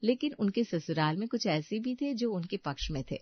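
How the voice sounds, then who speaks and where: A woman's voice: medium pitch, lively intonation, normal speed, breathy edge, no room sound, muffled recording. A woman narrating a scripted moral story as its storyteller.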